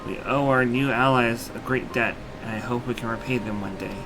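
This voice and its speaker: A man voicing a measured, courteous young male ruler in a video game, speaking formally and with care. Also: mid-range voice, steady tone, natural voice, rough recording. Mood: solemn, grateful. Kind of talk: video game dialogue acting. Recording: game music behind the voice.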